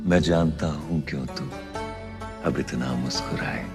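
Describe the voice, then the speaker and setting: An actor playing a reflective young poet-writer in naturalistic film dialogue. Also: male voice, rumbling low, measured pace, music in the background. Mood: tender, poetic.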